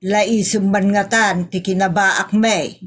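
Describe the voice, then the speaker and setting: A woman's voice: mid-range voice, steady tone, takes it slowly, breathy edge. A woman playing a grumbling, defensive aunt in a comedy audio drama.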